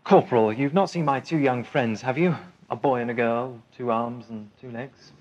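A man's voice: mid-range voice, normal speed, muffled recording, natural voice. A man playing a courteous, unflappable time traveller in an articulate, theatrical sci-fi audio drama style. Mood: polite inquiry.

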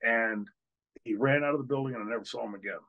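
A man's voice: mid-range voice, fast, gravelly, phone-quality sound. An older man, host and former wrestler, telling a candid story on a video call from a hotel room, with many fillers and restarts. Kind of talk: storytelling in an interview. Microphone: video call, webcam.

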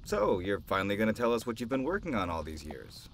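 An actor playing a skeptical, excitable male doctor in an audio drama, with an incredulous manner. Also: fairly low pitch, fast talker, slight background noise, heavily acted. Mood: curious. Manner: incredulous, animated.

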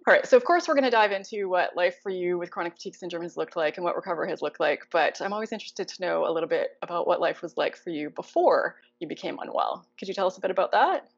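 A woman's voice: lowish voice, animated, quick pace, few pauses, muffled recording. A woman in her thirties hosting a video-call interview, upbeat and encouraging. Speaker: host. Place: living room indoors. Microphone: lapel mic on a video call.

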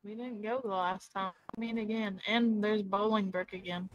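A woman's voice: medium pitch, fairly even intonation, normal speed, dull audio, slight background noise. A woman chatting casually while roleplaying in an online game. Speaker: roleplay gamer.

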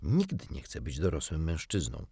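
Man playing a cocky, very animated little boy. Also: extremely low pitch, moderate pace, gravelly, slightly rough, no echo, clean studio sound, exaggerated voice. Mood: earnest. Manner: gleeful crowing, offhand. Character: cocky, boastful, carefree little boy, stubbornly childlike.

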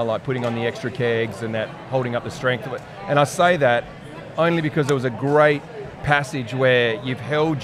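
An Australian man co-hosting a casual post-game podcast, asking long, rambling questions at a boom mic in a busy room after a match. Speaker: podcast co-host. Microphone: boom mic on arm, headphones. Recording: loud crowd chatter behind.

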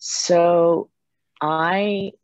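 A middle-aged woman from Japan giving reflective, halting, conversational answers as an interview guest on a video call. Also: lowish voice, fairly even intonation, darkish tone, no room sound. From Japan, with years in Germany and Italy. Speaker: interview guest, foundation president. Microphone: computer mic on a video call. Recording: dull video-call audio.

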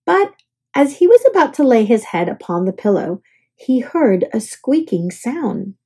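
A woman's voice: fairly high pitch, big pitch swings, unhurried, a little mellow, breathy edge. A woman storyteller narrating a children's picture book aloud.

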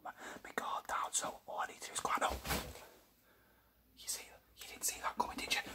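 A young man playing a boastful, self-assured champion boxer in a breathy, repetitive whisper for an ASMR roleplay. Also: far from the mic, close to an everyday voice. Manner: bragging, repetitive.

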